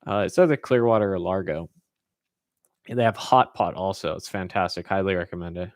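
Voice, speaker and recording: A man's voice: deep voice, brisk, dry recording, clean studio sound. An American man from California hosting a casual Q&A, talking informally and rambling as he thinks aloud. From California, United States. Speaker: host answering viewer questions. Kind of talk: casual Q&A chat.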